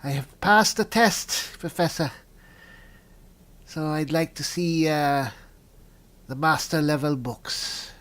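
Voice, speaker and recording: A man's voice: a little high, slow, long pauses, a bit bright. A man hosting a gaming video, talking politely in character and musing aloud as he reads out in-game descriptions. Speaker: gaming video host and player. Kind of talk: in-character roleplay mixed with game commentary.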